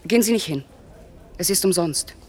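A woman's voice: on the low side, animated, moderate pace, darkish tone, natural voice. Actress as guarded, mysterious young woman. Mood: urgent, guarded. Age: young adult. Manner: terse, guarded. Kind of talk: radio crime drama dialogue.

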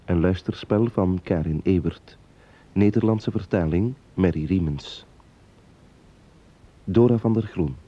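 A man's voice: deep voice, measured pace, pauses often, dark tone. Een man die als omroeper een hoorspel aankondigt met voorgelezen tekst. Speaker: radio announcer. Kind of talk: scripted radio drama announcement. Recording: dull old radio recording.

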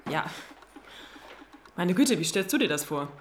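A woman's voice: on the low side, big pitch swings, measured pace, a little hiss or hum. A woman actor talking casually in a rehearsal. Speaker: actress in rehearsal.

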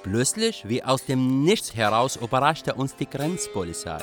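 An actor playing a young Iraqi refugee poet, narrating his flight in a measured, reflective, literary way for a radio drama. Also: medium pitch, big pitch swings, a bit bright, soft background music. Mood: grave recounting.

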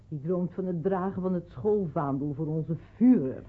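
A woman's voice: on the low side, measured pace. Worried mother in tinny radio drama. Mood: dry, reproachful. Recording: tinny band-limited old radio play, background noise.

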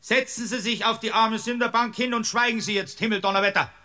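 An actor playing an irascible, impatient village-court judge with a Bavarian accent in a radio drama, blustering and sarcastic. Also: male voice, high-pitched, fairly even intonation, brisk, bright, forceful tone. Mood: irritated. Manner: blustering, sarcastic, barking orders. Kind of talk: German radio drama acting.

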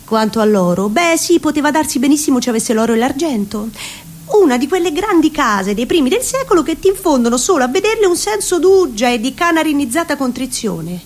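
A woman giving a dramatic literary reading, deliberate and theatrical, savouring ornate prose. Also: high voice, very animated. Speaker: reciting actress in radio theatre.

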